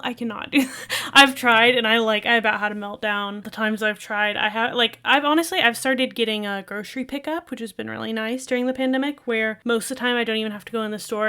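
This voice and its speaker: A woman with a bright, fairly high and very clean voice talks casually over a video she is reacting to. She rambles comfortably, leaning on filler words as she shares her thoughts. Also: clean tone. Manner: chatty and rambling, many fillers. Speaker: video creator.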